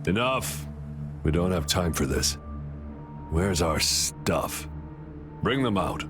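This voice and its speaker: A man's voice: deep voice, animated, slow pace, over music. A man playing a cold, impatient villain boss in a video game, giving orders in a curt, menacing manner. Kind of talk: video game cutscene acting.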